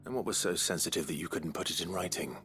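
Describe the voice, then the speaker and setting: An actor playing a terse, serious young lord in a fantasy game, understated in manner. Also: male voice, deep voice, fast, a bit bright, faint music behind. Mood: reserved. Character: low-voiced, terse nobleman, a marquis. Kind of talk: scripted fantasy game dialogue.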